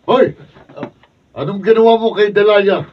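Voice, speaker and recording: An actor playing a bold, blunt, protective suitor in a Filipino radio drama. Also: male voice, high voice, measured pace. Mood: furious. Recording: dull distant mic, faint room noise.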